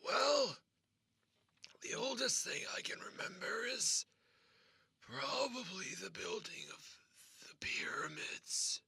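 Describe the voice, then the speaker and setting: A man playing an ancient, feeble but proud and sinister old man in a comedy sketch, with a breathy, creaky voice and a halting delivery. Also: extremely high pitch, lively intonation, bright, forceful tone, no echo, exaggerated voice. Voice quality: husky, breathy, whispery, creaky. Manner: halting, drawn-out delivery in short phrases. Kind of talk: comedy sketch interview acting.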